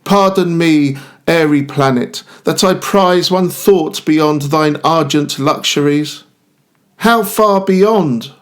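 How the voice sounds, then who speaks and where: A man's voice: fairly high pitch, slow. A man voicing a lovestruck young man who rapturously addresses the moon in declaimed verse. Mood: yearning, pleading. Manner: ardent, declaimed. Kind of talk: poetic monologue in verse recital.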